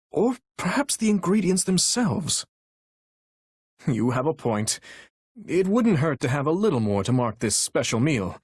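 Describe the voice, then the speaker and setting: A young warrior king for a video game, gentle and earnest yet burdened. His husky voice moves expressively through courtly, measured phrases. The sound is dry and close, without room. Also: fairly high pitch, no room sound, heavily acted. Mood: relaxed, pensive. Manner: formal, measured, courtly diction. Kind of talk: video game character voice lines.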